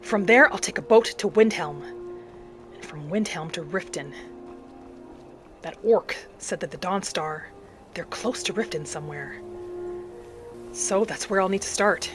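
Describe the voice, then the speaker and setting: A woman voicing an earnest, vengeful young battle mage in a dramatic, deliberate first-person fantasy roleplay. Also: fairly low pitch, over music, natural voice. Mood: resolute, brooding. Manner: dramatic, deliberate, thinking aloud in character.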